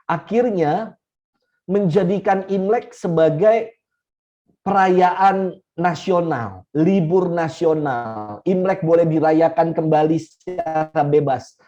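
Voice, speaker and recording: Slow-speaking Indonesian priest, mellow voice. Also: male voice, a little high, soft background music. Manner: unhurried, repeats key phrases for emphasis, chuckles. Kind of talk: teaching talk to a congregation. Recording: slightly dull lo-fi recording.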